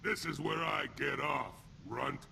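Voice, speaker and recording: An actor playing a crude, sneering alien thug and ex-convict enforcer in a video game, taunting in blunt street-gangster slang with a rough voice. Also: male voice, a little high, measured pace, pushed and bright, a little hiss or hum, full character voice. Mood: menacing. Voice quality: gravelly, rough. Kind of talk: video game character acting.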